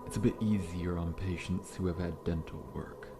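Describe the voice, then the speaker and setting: A man playing a massive, friendly but faintly menacing scorpion-man dentist in an ASMR roleplay, speaking in a gentle, reassuring, politely formal way. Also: low-pitched, fairly even intonation, moderate pace, noisy background. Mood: soothing, friendly. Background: background music.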